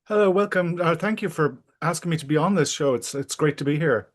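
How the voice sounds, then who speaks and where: A middle-aged or older man, talking casually and reflectively as an interview guest, pausing often to think aloud. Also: on the high side.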